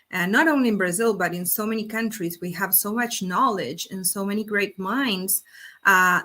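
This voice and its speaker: An Argentinian woman whose first language is Spanish answers interview questions in a bright, clear voice at an ordinary pitch. She talks earnestly and often restarts her sentences with fillers. She is heard over a video call. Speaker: interview guest, company executive. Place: Brazil.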